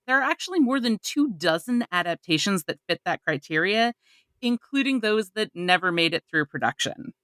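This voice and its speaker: A woman's voice: mid-range voice, expressive, normal speed, fairly bright tone, no room sound. A woman hosting a podcast, reading a scripted introduction. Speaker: podcast host.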